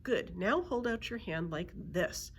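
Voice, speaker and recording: Woman as a young storybook girl, patient, encouraging, lively intonation, faint background noise. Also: medium pitch, unhurried. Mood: coaxing. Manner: encouraging, careful. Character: kind, patient young girl, gently coaxing. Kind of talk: children's storybook read-aloud.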